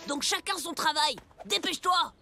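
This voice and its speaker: A woman's voice: high-pitched, expressive, moderate pace, exaggerated voice. A woman voicing a bossy, scheming young boy who leads a gang and throws insults in a cartoon. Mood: gleeful, domineering. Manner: domineering, insulting, exclamatory. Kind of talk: French cartoon dub acting. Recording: voice under loud music.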